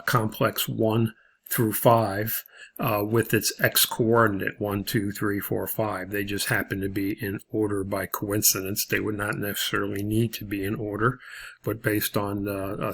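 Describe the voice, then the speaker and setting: Man, instructor, lower-pitched, monotone, halting step-by-step explanation, video lesson. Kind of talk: video lesson walking through example problems.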